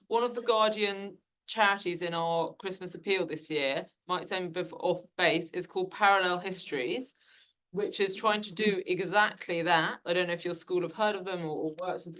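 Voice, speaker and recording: A woman's voice: fairly low pitch, a bit bright. A woman moderating a panel discussion, talking conversationally with frequent restarts. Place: lecture hall.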